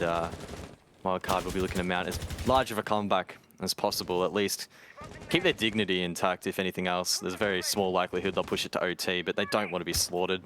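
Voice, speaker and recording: A male esports colour commentator with a somewhat low voice. He breaks down each round with dry wit and teases his partner. A faint hiss sits behind him. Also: a bit bright. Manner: wry, teasing banter with co-caster. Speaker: esports analyst caster.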